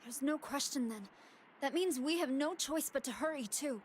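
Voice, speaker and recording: An actress playing a female android with a deliberate manner in an English anime game dub. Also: sits high, slight background noise, lightly acted. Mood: composed, evasive.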